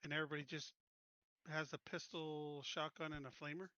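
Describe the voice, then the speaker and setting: A man with a fairly high, bright and forceful voice chats casually as a player at a tabletop game. He keeps asking and checking details of the rules. The recording is dry and slightly dull. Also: little pitch movement, brisk, smooth, no echo.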